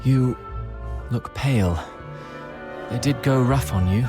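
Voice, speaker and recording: Suave royal played by a male actor, mid-pitched, theatrical and wry, refined game dialogue over faint music. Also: takes it slowly, natural voice. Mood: relieved, playful. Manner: theatrical, refined formal diction. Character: suave aristocratic prince, eloquent, wry, caring toward friend. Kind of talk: video game voice acting. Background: background music.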